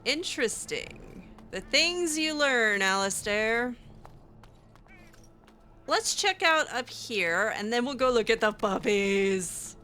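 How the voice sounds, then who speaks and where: A woman's voice: fairly high pitch, lively intonation, takes it slowly, sharp tone, a little hiss or hum. A woman playing through a video game in character, chatting casually in a hesitant, wry way. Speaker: gameplay roleplayer voicing her character.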